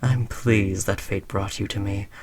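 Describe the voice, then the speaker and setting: A man's voice: lowish voice, fairly even intonation. A man playing a smug, condescending yet indulgent alien overlord who conquered Earth, speaking to a servant in an imperious, teasing way in a roleplay audio drama. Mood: proud, condescending. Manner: unhurried, imperious, teasingly patronizing.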